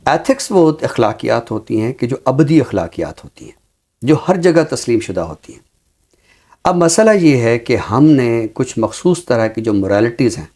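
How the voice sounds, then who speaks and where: A man speaking in a measured, deliberate and earnest way, explaining his views as a talk show commentator with a literary vocabulary. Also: medium pitch, big pitch swings, a little mellow.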